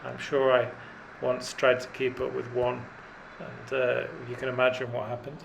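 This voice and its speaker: Middle-aged man, dry self-mocking vlogger, gravelly tone, cycling outdoors. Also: medium pitch, monotone, normal speed, a little hiss or hum, poor audio. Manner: dry self-deprecating humour, many fillers. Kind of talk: rambling self-deprecating commentary while riding. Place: outdoors on a mountain road.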